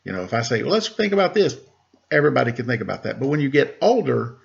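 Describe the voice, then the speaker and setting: A man, a teacher, reading a rhyming picture book aloud to children in a lively way and asking them questions. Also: medium pitch, quick pace, creaky, highs cut off. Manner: lively rhyming delivery with rising questions to listeners. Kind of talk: storybook read-aloud to children.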